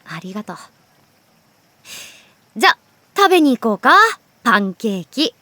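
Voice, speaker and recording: A woman playing a lovestruck teenage girl in a Japanese audio drama, teasing yet wistful, speaking in an unhurried way. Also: on the high side, big pitch swings, bright, forceful tone, no echo. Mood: cheerful. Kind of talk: Japanese audio drama acting with soft inner monologue.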